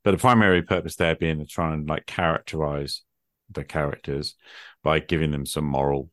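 A man's voice: deep voice, dry recording, clean studio sound. A man chatting casually as a gaming podcast host, thinking aloud with plenty of "like" and "you know". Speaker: podcast host and game master. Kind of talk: casual conversation about tabletop gaming.

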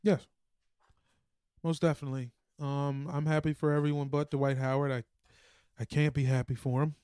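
A man's voice: fairly high pitch, little pitch movement, moderate pace, warm tone, clean tone, dry recording, clean studio sound. An American man co-hosting a podcast with casual, chatty sports banter. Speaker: podcast co-host.

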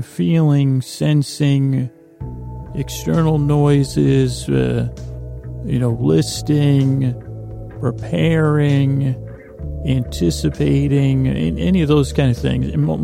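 A man hosting a sleep podcast in a lulling, soothing tone, meandering haltingly through a bedtime monologue with stammers and repeats. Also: mid-range voice, vocal fry. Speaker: podcast host. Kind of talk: rambling bedtime monologue to lull listeners to sleep.

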